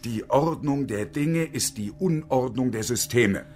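A man's voice: mid-range voice, a little slow, darkish tone, natural voice. A man acting in a radio drama as a bitter, defiant unemployed engineer in his fifties, with a pompous, sarcastic, declamatory way of talking. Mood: mocking, grandiose. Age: 50s.

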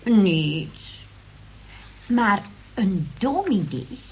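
An actress in a Dutch radio play playing a prim, pious church sister who is hesitant, sanctimonious and easily shocked. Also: female voice, mid-range voice, very animated, extremely slow, darkish tone, breathy edge, dull audio, full character voice. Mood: flustered doubt.

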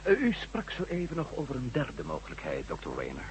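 An actor playing a quick-witted, inquisitive male reporter in a Dutch radio drama, politely insistent. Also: medium pitch, wildly swooping pitch, breathy edge, full character voice. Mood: probing. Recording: humming, noisy old radio recording.

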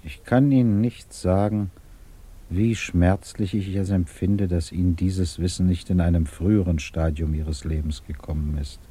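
A man playing a measured, courteous British government investigator in a 1950s German radio drama, formal, deliberate and patiently probing. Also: sits low, steady tone, warm tone, slightly rough. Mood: regretful.

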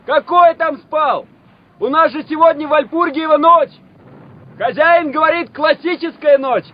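A muffled old radio recording with an eager young male officer. His voice is very high, animated and bantering, as in a war radio play. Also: unhurried. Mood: excited, bantering. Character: excited, cheerful young male Soviet artillery officer.

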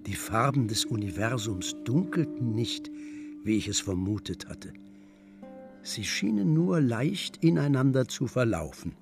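An actor playing a solemn, devout old master miniaturist in a radio drama, measured and at times sinking to a near whisper. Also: male voice, medium pitch, big pitch swings, gravelly, faint music behind. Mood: grave, entranced. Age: older. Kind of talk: literary radio drama, first-person narration and dialogue.

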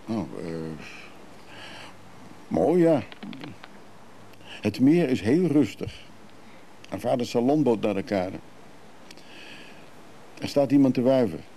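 An actor playing a fussy, nervous, rambling traveller in a radio drama, with a hesitant, dry way of talking. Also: male voice, mid-range voice, lively intonation, takes it slowly, long pauses, dark tone. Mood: wry, rambling. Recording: noisy radio play recording.

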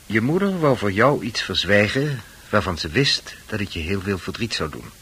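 An actor playing a composed, authoritative psychiatrist explaining things to a patient in a radio play, with a husky voice. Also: male voice, on the low side, big pitch swings, fairly bright tone, slight background noise. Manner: explanatory, measured.

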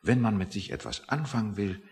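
A man's voice: fairly low pitch, brisk, darkish tone, close to an everyday voice. An actor playing a grumpy, self-satisfied, pedantic man in a satirical radio play. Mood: pompous, musing. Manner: pedantic, lecturing, easily offended. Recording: reverberant hall-like room.

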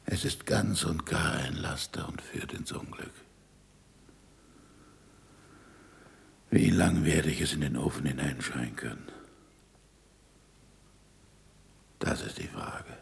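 A man's voice: rumbling low, normal speed, creaky, exaggerated voice. A man acting an impassioned, sarcastic middle-aged scientist in a German radio play, swinging between declamation and muttering. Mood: brooding, confessional.

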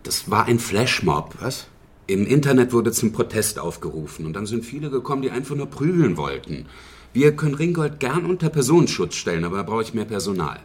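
An actor playing a stubborn, dry police detective in a radio drama, questioning people in a blunt, matter-of-fact way. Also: male voice, medium pitch, sing-song, a little fast, breathy edge. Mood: defensive. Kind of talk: naturalistic radio drama dialogue.

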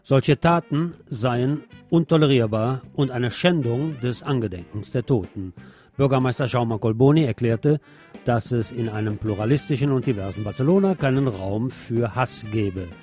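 A man's voice: medium pitch, moderate pace, dark tone, faint music behind. A man presenting a scripted radio magazine with news and commentary, phrasing things in a wry, dramatic way.

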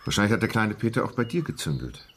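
A man's voice: lowish voice, sing-song, fast talker, fairly bright tone, husky, exaggerated voice. An actor playing a hesitant, friendly male confidant in a radio drama. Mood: concerned. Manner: hesitant, conversational.

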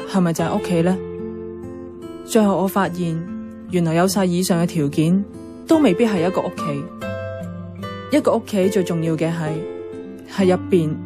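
A woman's voice: lowish voice, natural voice. An actress with a Hong Kong Cantonese accent playing a sentimental young woman in a radio drama, narrating and acting. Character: sentimental young woman about to part from her love. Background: music, plucked guitar.

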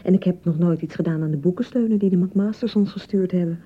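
A woman's voice: lowish voice, fast talker, slightly rough. A woman acting a touchy, hurt and unsure young newlywed bride in a radio drama, defensive and hesitant in manner. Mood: nervous, flustered. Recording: dull, slightly muffled 1990 radio broadcast.

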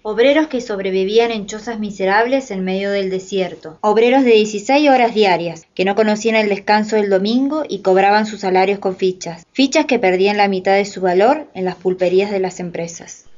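A woman's voice: mid-range voice, fairly quick, few pauses, a little mellow. A woman delivering scripted narration for a radio drama. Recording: slightly dull lo-fi sound.

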